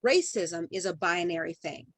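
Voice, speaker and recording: A woman's voice: fairly low pitch, lively intonation, moderate pace, fairly bright tone, no room sound. A woman speaking as a podcast guest in conversation, emphatic and building her points through repeated phrases.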